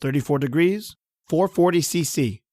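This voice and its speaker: A man's voice: a little high, moderate pace, dark tone, no echo, clean studio sound, close to an everyday voice. A man voicing a timid, dim-witted lab assistant in a radio horror play, meek and stammering with folksy grammar.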